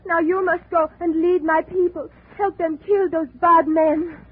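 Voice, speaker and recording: An actress playing a young island woman who speaks gentle, broken English in a 1940s radio drama. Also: very high voice, steady tone, a little slow, a little hiss or hum. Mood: urgent. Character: young island woman, soft-spoken, devoted. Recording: tinny 1940s radio broadcast.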